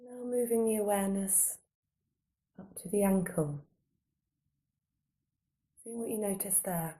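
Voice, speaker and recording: A woman guiding a body scan meditation in a calm, gentle, unhurried manner, giving slow soothing instructions with long pauses. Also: medium pitch. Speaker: meditation guide.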